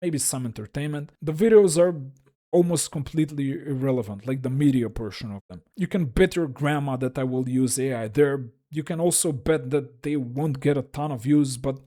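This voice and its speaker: A man's voice: medium pitch, moderate pace, mellow. Wry man with a Russian accent. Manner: frank, wry, self-deprecating. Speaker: YouTube creator. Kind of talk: solo explainer talk.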